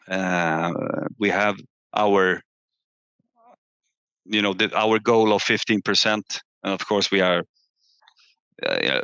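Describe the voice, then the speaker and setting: A man presenting company results as an executive on a call, halting and often repeating words as he searches for phrasing. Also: sits low, gravelly, sharp tone, clean tone. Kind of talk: earnings call presentation and answers. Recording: muffled, echoey room on online call.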